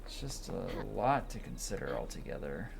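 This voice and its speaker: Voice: low voice, very animated, moderate pace, vocal fry. A person giving casual, chatty gaming commentary, thinking aloud in an informal way. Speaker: host of a game playthrough. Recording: game music behind voice.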